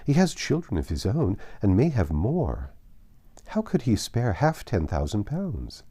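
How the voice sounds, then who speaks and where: A man reads the part of a forgiving young elder sister in a classic storybook. She reasons gently and earnestly in a mellow voice with a varied, lilting melody. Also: lowish voice, huge pitch swings, measured pace, slightly rough, heavily acted. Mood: hopeful.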